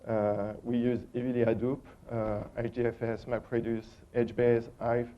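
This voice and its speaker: A man's voice: medium pitch, completely flat delivery, unhurried. A man with a strong French accent giving a technical conference talk, hesitant and full of ums and uhs. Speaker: conference speaker. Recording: dull lo-fi conference recording.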